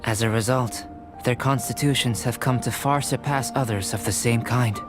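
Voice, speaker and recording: A young male researcher from a fantasy video game, played by a man. He speaks at a middle pitch with calm, analytical precision and formal, detached composure. Music is clearly heard underneath. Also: moderate pace, close to an everyday voice. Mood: composed.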